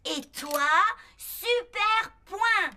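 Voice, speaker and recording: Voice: very high-pitched, lively intonation, drawn-out words, pushed and bright, slight background noise, full character voice. An actor in a French cartoon dub playing a small, cute boy robot, naive and earnest, who speaks in broken, telegraphic phrases. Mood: friendly.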